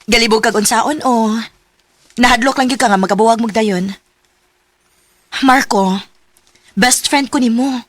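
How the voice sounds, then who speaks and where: A woman's voice: on the high side, a little slow, pauses often, no room sound. An actress playing a frank, protective woman confidante in a radio drama, speaking insistently with a Cebuano Filipino accent. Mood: concerned. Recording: faintly noisy radio drama track.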